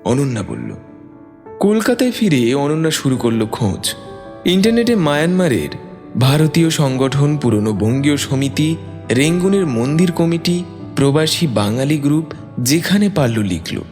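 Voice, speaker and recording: A man tells a scripted, emotional story as narrator. His mid-pitched voice moves expressively up and down. It rings with a long reverb over soft music. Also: very animated, normal speed.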